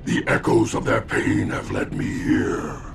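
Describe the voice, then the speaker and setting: An actor voicing a grim, demonic spirit with a rasping, gravelly voice in a game cutscene. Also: male voice, very deep voice, very animated, measured pace, very noisy, full character voice. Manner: grim, solemn menace. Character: demonic flaming-skull spirit avenger, grim. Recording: game cutscene with loud score.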